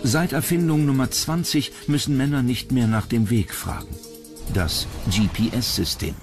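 A man's voice: medium pitch, measured pace. A man reading scripted narration for a countdown documentary in standard German from Germany. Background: music behind the voice.

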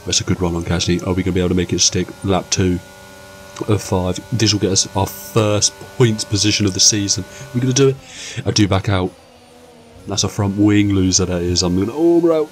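A young man with a cold, croaky, giving excitable, jokey commentary over his racing game. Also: sits low, brisk, slight background noise. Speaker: gaming channel host. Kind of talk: casual gameplay commentary.

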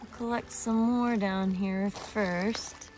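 A woman's voice: medium pitch, fairly even intonation, slow pace, darkish tone, soft background music. A woman in her thirties with an American accent, talking in an easygoing, colloquial way in a casual outdoor vlog. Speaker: rock-hunting vlogger. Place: outdoors on a pebbly beach.

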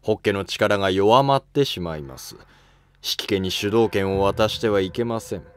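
A man's voice: fairly low pitch, normal speed, smooth, no echo, lightly acted. Man playing cool, ambitious young nobleman, dry sound. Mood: impatient. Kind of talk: amateur historical drama dialogue.

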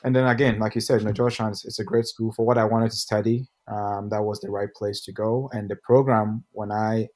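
Young ghanaian-born guy on a video call, lowish voice, laid-back rambling stories. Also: fairly quick, hardly any pauses. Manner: relaxed storytelling with restarts. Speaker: guest, pro soccer player. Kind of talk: casual interview answers.

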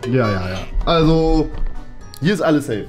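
A man's voice: fairly high pitch, expressive, moderate pace. A man in his twenties chatting casually as a video host and gaming commentator, jokey, often repeating short phrases. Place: indoor rooms, studio and office. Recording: music behind the voice. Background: background music.

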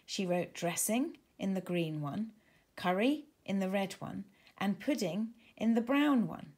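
A woman reading a children's storybook aloud in an expressive, deliberate way. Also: mid-range voice, slightly rough. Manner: animated, deliberate. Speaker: author reading own storybook.

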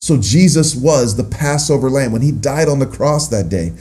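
A man's voice: medium pitch, lively intonation, moderate pace, darkish tone. A man in his fifties, a pastor giving a conversational video sermon in a small curtained room, often restarting phrases.

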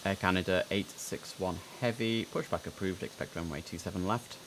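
A young man streaming a tower simulator, giving crisp controller calls between chatty asides. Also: low-pitched, moderate pace, a bit bright. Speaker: streamer playing air traffic controller. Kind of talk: gameplay commentary with radio phraseology. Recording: noisy game stream audio.

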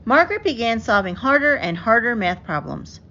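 A woman reads a children's picture book aloud in an expressive, carefully enunciated storytelling manner. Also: on the high side, normal speed. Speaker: storybook reader. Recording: dull lo-fi audio with clear background noise.

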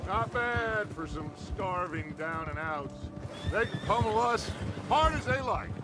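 A silver-tongued American gang leader from a western game. His high voice is rousing and commanding, given to grand speeches. Prominent music runs behind him. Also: lively intonation, measured pace. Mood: rallying, proud. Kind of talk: video game acting.

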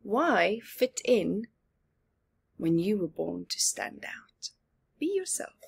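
Very slow, husky woman reading to children. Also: mid-range voice, very animated. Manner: deliberate, with dramatic pauses and emphasis. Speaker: storyteller reading picture books to children. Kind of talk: expressive read-aloud with asides to young viewers. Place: small living room at home.

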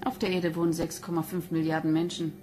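An actress playing a bitter, defensive wife under questioning in a crime radio play, speaking curtly. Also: female voice, deep voice, little pitch movement, fast talker, dark tone, soft background music, close to an everyday voice. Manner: curt, defensive. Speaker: actor in a crime radio drama. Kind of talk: German radio play acting.

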